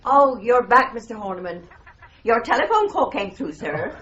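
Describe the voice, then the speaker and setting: Polite eager housekeeper, highish voice, hissy old radio. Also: female voice, very animated, normal speed, a bit bright. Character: eager, deferential female housekeeper. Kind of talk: 1950s-style radio drama acting. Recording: dull vintage radio recording, faint hiss.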